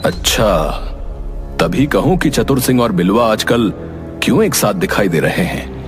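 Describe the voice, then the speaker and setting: A man playing a kindly, concerned village priest with a measured manner in an animated moral story. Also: lowish voice, music in the background. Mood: reflective.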